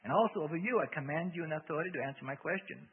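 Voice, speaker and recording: Man acting a firm exorcist, raised husky voice. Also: fairly high pitch, rapid-fire, pitched above the performer's own voice. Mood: insistent. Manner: insistent, forceful, raised voice. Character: firm, commanding male exorcist. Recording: tinny, narrow-band radio-like sound.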